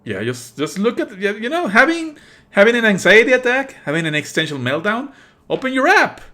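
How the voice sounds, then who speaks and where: Bright, forceful, exasperated male streamer. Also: high voice, big pitch swings, a little fast. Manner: exasperated, emphatic, sweary. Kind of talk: live let's play commentary.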